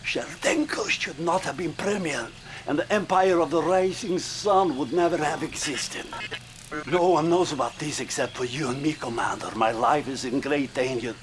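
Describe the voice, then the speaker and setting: A man's voice: fairly high pitch, normal speed, lightly acted. A secretive Soviet scientist played by a man pleads. He is urgent and conspiratorial, in dramatic game cutscene style, over faint noise. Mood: urgent, fearful.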